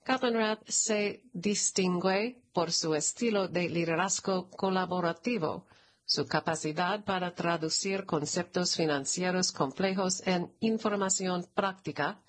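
Slow-paced, formal woman narrator. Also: on the low side. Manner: formal, measured. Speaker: narrator reading a press release. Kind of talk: scripted read-aloud news announcement.

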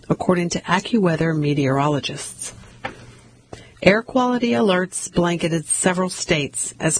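A reader going through newspaper articles aloud at a steady pace, with occasional slips and restarts. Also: medium pitch, slightly rough, rough recording. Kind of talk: reading news articles aloud.

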